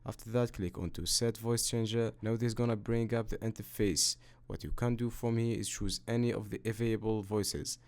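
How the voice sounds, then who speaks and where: A man with a fairly low voice narrates a step-by-step computer tutorial. He speaks as the host, giving instructions in a matter-of-fact manner. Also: level tone, normal speed, few pauses, darkish tone.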